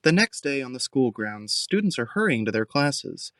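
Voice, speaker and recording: A male narrator reads scripted scene descriptions for an audio drama. His medium-pitched voice is recorded very dry, with no room sound. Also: sing-song, normal speed, a bit bright, no room sound.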